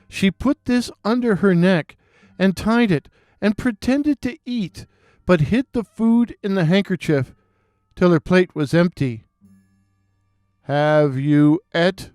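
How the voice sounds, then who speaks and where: A man narrating a scripted folktale in a deliberate, theatrical way, with weighted pauses. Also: high-pitched, a little mellow, dry recording, clean studio sound. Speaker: storyteller.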